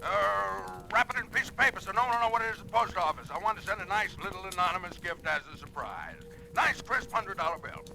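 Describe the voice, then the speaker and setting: An actor playing an imperious, hard-of-hearing old boss, barking and fussy, in 1940s radio drama. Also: male voice, high-pitched, very animated, fast talker, creaky, sharp tone, heavily acted, rough recording. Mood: bossy, fussy. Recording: old radio recording with music.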